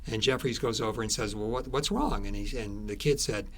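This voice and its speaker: A man speaking as an interviewed author, telling stories conversationally in a halting way, repeating words and trailing into asides. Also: on the low side, slight background noise.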